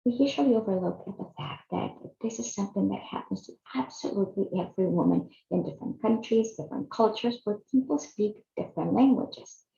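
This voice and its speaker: Gravelly woman in her fifties. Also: mid-range voice, fairly quick, hardly any pauses, mellow, slight background noise. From Chile. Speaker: video series host. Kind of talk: scripted personal narration. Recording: echoey, slightly dull room sound.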